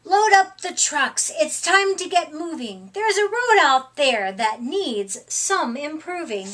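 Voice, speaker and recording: A middle-aged woman reading a picture book aloud to young children in an expressive, deliberate, emphatic way. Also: sits high. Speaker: storytime reader. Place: small furnished room indoors.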